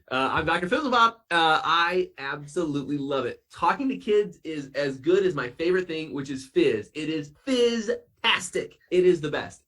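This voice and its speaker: A man playing an excitable, wonder-filled science doctor for children, gushing and emphatic. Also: a little high. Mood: giddy, delighted. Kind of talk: kids' science show character.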